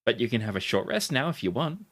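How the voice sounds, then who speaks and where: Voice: medium pitch, very animated, quick pace. Game master narrating in dramatic phrases. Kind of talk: narrating a tabletop roleplaying game.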